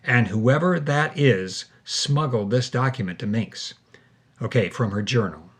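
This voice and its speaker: Male narrator, medium pitch, husky texture, conversational explanatory storytelling. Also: animated, a little slow.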